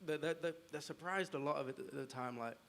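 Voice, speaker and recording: A man's voice: fairly high pitch, a little fast, gravelly, a bit bright. A young man speaking frankly on a panel, hesitant, with many "um"s. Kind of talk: casual panel talk.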